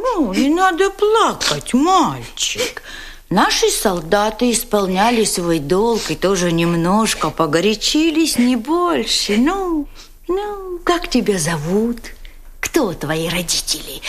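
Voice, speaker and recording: A woman's voice: mid-range voice, very animated, a little hiss or hum. Syrupy, coaxing woman interrogator, swooping intonation. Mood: coaxing, falsely tender. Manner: syrupy, drawn-out, theatrical phrasing with repeated soft words. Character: German woman interrogator, falsely sweet and coaxing, wheedling. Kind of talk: dramatic acting.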